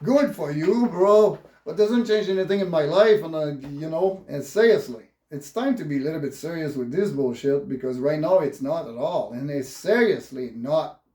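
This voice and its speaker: An upset man with a French Canadian accent speaks in a fairly high voice for a male. His English is halting and repetitive, peppered with swearing as he rants off the cuff. The recording is strongly roomy and echoing. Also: animated, mellow. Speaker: vlogger. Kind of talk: unscripted political rant.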